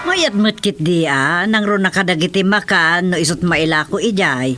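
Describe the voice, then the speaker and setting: A woman's voice: fairly low pitch, lively intonation, moderate pace, over loud music, close to an everyday voice. A woman playing a practical villager in a rural radio comedy drama.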